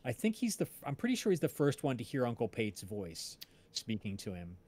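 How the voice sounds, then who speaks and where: A man from New Jersey with an American accent, chatting casually and haltingly as a host, rambling as he searches for words. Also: mid-range voice, wildly swooping pitch, darkish tone. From New Jersey, United States. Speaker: podcast livestream host and casting director. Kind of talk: casual chat.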